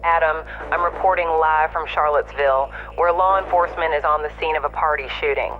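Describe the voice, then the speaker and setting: A man's voice: on the high side, steady tone, a little fast, narrow, tinny audio, faint music behind. A man playing a serious on-scene news reporter, giving a formal, factual live report over a radio-like link. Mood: serious, urgent.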